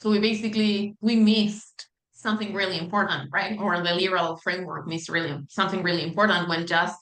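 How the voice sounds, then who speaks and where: A woman's voice: on the low side, fairly even intonation, a little fast, fairly bright tone, echoey room, poor audio. A woman from Chile interviewing an author, thinking aloud and checking in with "right?" as she shapes her questions. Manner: thoughtful, conversational, asks with "right?" tags.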